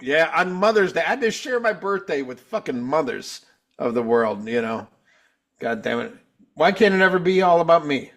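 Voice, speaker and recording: A fifty-one-year-old man hosting a relaxed podcast, talking bluntly and cursing freely. His voice runs high for a man. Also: normal speed. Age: 50s. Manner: blunt, profane, laid-back. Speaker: podcast host. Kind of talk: casual chat.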